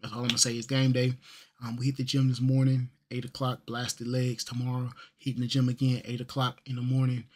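A laid-back man rambles through a casual vlog, full of fillers and slang. His voice sits at an ordinary middle pitch. He talks into a desk mic in a small bedroom. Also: monotone, a little fast, a little hiss or hum. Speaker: vlogger. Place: small bedroom with gaming setup. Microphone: desk microphone.

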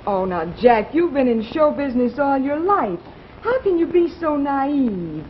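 A woman's voice: sits high, sing-song, a little slow. An American woman in her thirties playing a matter-of-fact young mother in a 1950s television comedy sketch. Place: television studio with live audience. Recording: muffled 1950s TV soundtrack, noisy audience.

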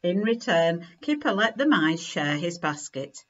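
A middle-aged woman reading a children's picture book aloud in a deliberate, expressive way at home. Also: on the low side, dull audio. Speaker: story reader. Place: living room at home, indoors.

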